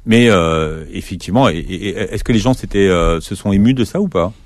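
Low-voiced male interviewer. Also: brisk, a little mellow, dry recording, studio quality. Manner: formal questioning, with frequent "mhm" backchannels. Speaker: interviewer host. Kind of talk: interview questions.

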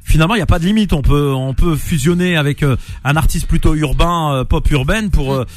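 A man's voice: a little high, brisk, faint music behind. A man from France hosting a morning radio show, teasing his guests and filling gaps with hesitations. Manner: joking, teasing, many hesitations. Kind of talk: casual radio interview.

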